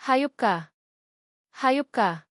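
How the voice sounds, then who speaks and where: A woman's voice: high voice, lively intonation, extremely slow, no echo, clean studio sound, pitched above the performer's own voice, full character voice. A woman voicing an anguished, betrayed young woman in an audio drama, trembling and accusing. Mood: furious, tearful. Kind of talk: Tagalog audio drama dialogue.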